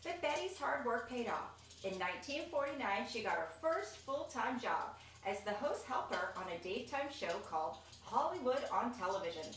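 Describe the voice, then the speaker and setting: Female storybook reader, higher-pitched and expressive, casual asides, recorded at a distance from the mic. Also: normal speed, hardly any pauses. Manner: expressive, chatty, pointing out pictures. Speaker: reader of a children's picture book. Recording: echoey room, distant mic, faint noise.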